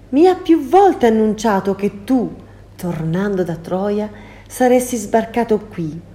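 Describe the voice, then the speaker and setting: A woman's voice: fairly high pitch, mellow, voice pushed up. A woman voicing a scheming sorceress in a storybook reading, sweet and mocking by turns. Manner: sugary then mocking, cackling. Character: sorceress woman, scheming, cruel then pleading. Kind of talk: storybook character voice.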